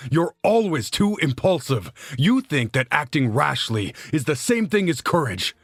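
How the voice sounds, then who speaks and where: A man's voice: on the high side, very animated, a little slow, no echo, studio quality, full character voice. A man playing a cautious, rule-bound fairy guardian in a fan audio drama, arguing with reproach and sarcasm. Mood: accusing.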